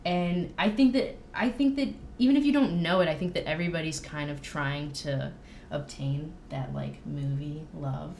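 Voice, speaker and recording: A woman with a low voice talks casually and openly, with an informal, self-deprecating manner. The room rings noticeably around her, with a faint layer of noise underneath. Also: moderate pace, vocal fry, a little mellow. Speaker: band drummer, podcast guest. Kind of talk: casual personal chat. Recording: roomy echo with faint noise.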